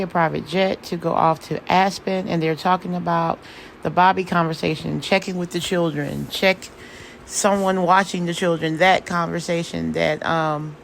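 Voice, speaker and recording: A hoarse woman, casually recapping a reality show episode in a chatty, opinionated way. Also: lowish voice, slight background noise, rough recording. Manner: chatty, opinionated, drawling asides. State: hoarse from singing.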